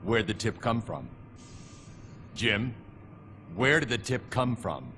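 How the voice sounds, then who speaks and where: A man playing a stern, terse masked vigilante hero in a video game, with loud music over him. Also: lowish voice, very animated, slow pace, slight background noise, exaggerated voice. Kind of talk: video game dramatic acting.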